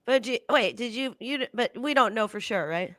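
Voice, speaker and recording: A woman's voice: a little high, a little fast, pushed and bright, no echo. Giggly woman with a cough. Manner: giggly, playful, teasing. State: has a cough. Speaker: co-host. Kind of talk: casual live-stream chat. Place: room at home. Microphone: handheld mic.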